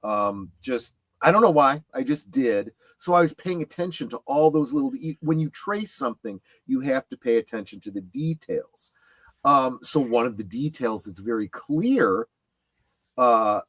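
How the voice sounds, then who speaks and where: A middle-aged man chatting casually on a fan discussion show, halting yet emphatic as he explains things in detail. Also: medium pitch, a bit bright, dry recording. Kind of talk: casual chat about films and memorabilia.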